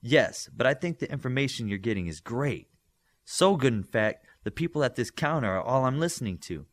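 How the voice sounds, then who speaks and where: Audiobook role: teenage telepath girl, read by a man, brisk and teasing, with lively swings in pitch. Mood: brisk, scheming.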